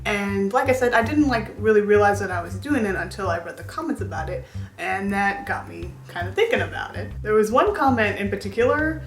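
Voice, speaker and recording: A woman's voice: mid-range voice, animated, fairly quick, roomy sound, faint music behind. A woman in her twenties chatting candidly to camera as a vlogger, rambling with lots of filler words. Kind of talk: casual chat to camera.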